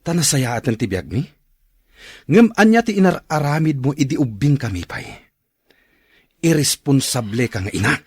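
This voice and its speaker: A man playing a bitter, unforgiving grown son in a radio drama, curt and scolding, with a rough-edged voice. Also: mid-range voice, sing-song, normal speed, long pauses, dry recording, studio quality. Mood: angry, bitter. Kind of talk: Ilocano radio drama acting.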